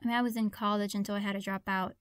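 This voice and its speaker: A woman's voice: medium pitch, level tone, very fast, clean tone, no echo, clean studio sound, lightly acted. A woman playing a friendly, awkward young witch in a conversational audio roleplay, hesitant and apologetic. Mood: friendly, chatty.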